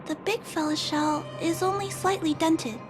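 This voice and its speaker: A woman voicing a kind, polite young girl who gently thinks aloud with questions, in a video game cutscene dub. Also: extremely high pitch, fairly even intonation, moderate pace. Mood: curious. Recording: game music under the voice.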